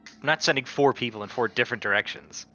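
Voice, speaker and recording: A man's voice: medium pitch, fast talker, bright, forceful tone, lo-fi sound, soft background music. A man playing a tabletop game, talking through it casually in a blunt, argumentative way.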